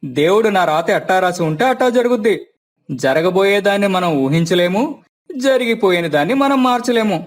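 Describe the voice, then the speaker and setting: నటుడు పోషించిన బాగా హెచ్చు గొంతు పల్లె యువకుడు. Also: male voice, sits high, expressive, moderate pace, faint music behind. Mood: consoling. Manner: philosophical, rustic colloquial speech. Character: easygoing fatalistic young village bachelor son. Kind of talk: dramatized moral story.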